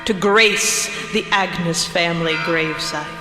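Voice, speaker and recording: An actress plays a brooding female spirit of a bronze graveyard statue. She speaks slowly and solemnly, her voice ringing in a long reverb over prominent music. It is poetic audio drama. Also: on the low side, fairly even intonation, close to an everyday voice. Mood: brooding, aggrieved. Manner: slow, solemn, poetic delivery. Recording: reverberant hall with music underneath.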